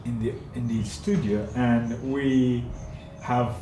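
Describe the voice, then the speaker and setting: A man's voice: medium pitch, fairly even intonation, takes it slowly, mellow. A man hosting a casual studio interview, pressing his guest with teasing, challenging questions. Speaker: talk show host. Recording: echoey studio with background noise.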